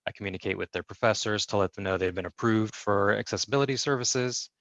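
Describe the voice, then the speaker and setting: A man working as a sign language interpreter, voicing a deaf guest's answers in the first person in a measured way, with frequent fillers and restarts, heard over a video call. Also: lowish voice, a bit bright, no room sound.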